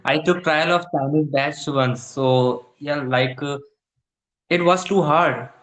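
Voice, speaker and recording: A young man from India with an Indian accent, a Hindi speaker practicing English in casual conversation, halting and full of fillers as he searches for words. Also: on the high side, a bit bright, roomy sound, low-quality recording. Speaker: English learner. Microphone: video call.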